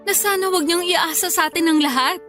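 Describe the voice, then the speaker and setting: A woman's voice: extremely high pitch, a little fast, a bit bright, soft background music. A woman playing an outspoken young working daughter in a radio drama, with a Filipino accent, polite but defiant. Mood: pleading, defiant. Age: young adult.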